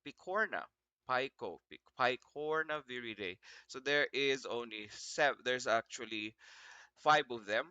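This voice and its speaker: Male lecturer, Filipino accent, bright and clear with slight morning froggy edge, informal, encouraging, repeats himself. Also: medium pitch, expressive, a little slow, no echo, highs cut off. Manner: encouraging, repeats himself, asks students questions. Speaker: virology lecturer. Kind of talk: informal online lecture to students.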